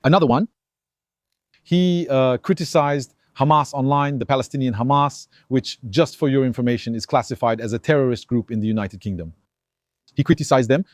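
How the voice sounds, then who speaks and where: A man's voice: medium pitch, fairly quick, no room sound. Emphatic man in a dry monologue. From Algeria. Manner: animated, emphatic, acts out dialogues. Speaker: commentator. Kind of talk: opinion monologue.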